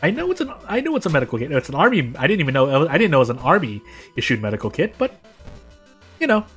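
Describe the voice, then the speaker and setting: An American man with a fairly high voice streams a game with music playing faintly behind him. He talks casually and loosely, wandering between thoughts and correcting himself mid-sentence. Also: big pitch swings, fast, a bit bright. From United States. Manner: rambling, self-correcting. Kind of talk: casual live gameplay commentary.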